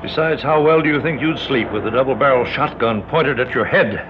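An actor playing a courteous, indignant American senator held hostage in an old radio drama, speaking formally. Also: male voice, medium pitch, a little fast, lightly acted. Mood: protesting. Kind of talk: 1960s radio drama acting. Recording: dull old radio recording, faint noise.

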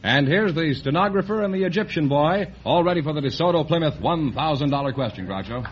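A man announcing on a 1950s radio quiz show in a crisp, formal manner. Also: on the high side, lively intonation, fairly quick, very noisy. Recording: dull old radio broadcast.

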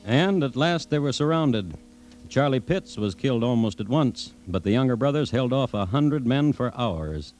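A man's voice: medium pitch, animated, normal speed, darkish tone, natural voice. An American man performing as a grave Old West storyteller, narrating a radio drama in solemn, rhythmic phrases. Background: dramatic music score.

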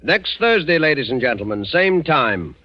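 A man's voice: fairly high pitch, big pitch swings, moderate pace. A man announcing a 1940s radio show from a script in a formal, dramatic style. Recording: dull 1940s radio broadcast recording. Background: loud music.

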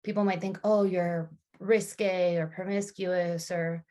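A woman's voice: lowish voice, steady tone, unhurried, mellow. A woman in her thirties, a podcast guest, speaking thoughtfully in casual conversation with frequent restarts and fillers.

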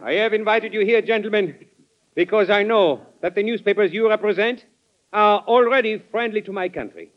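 An actor playing a proud, scheming envoy, in impassioned old-time radio drama style. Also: male voice, sits high, normal speed, close to an everyday voice. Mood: persuasive. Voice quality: impassioned, declamatory. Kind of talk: 1940s radio acting. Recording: muffled old radio recording.

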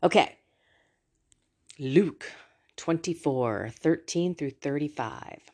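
A woman's voice: low-pitched, lively intonation, takes it slowly, darkish tone. A woman with a scratchy voice from allergies, hosting a devotional podcast from her room, chatting earnestly before reading scripture. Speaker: podcast host.

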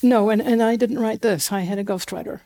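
New Zealand woman, warm and gravelly. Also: medium pitch, a little fast. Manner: relaxed, frequent fillers and restarts, light laughs. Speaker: guest, genetic genealogist. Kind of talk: casual interview.

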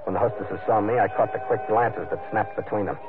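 An actor playing a hard-boiled, stubborn sea captain in an old radio adventure, terse, brooding and matter-of-fact. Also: male voice, lowish voice, steady tone, a little fast, lightly acted. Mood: tense, watchful. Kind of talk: old-time radio adventure narration and acting. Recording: narrow-band old radio recording under loud music.

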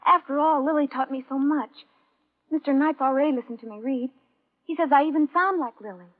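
An actress playing a naive young aspiring performer in a classic radio drama, eager, earnest and headstrong. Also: female voice, sits high, a little fast, close to an everyday voice. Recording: tinny, narrow old radio recording.

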